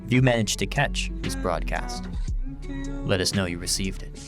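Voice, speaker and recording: A man announcing credits in a scripted radio drama outro. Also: deep voice. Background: guitar music.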